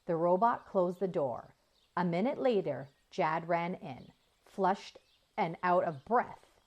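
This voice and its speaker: Animated, unhurried woman storyteller. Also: fairly low pitch, lo-fi sound. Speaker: storyteller reading a children's book aloud. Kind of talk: expressive scripted children's narration.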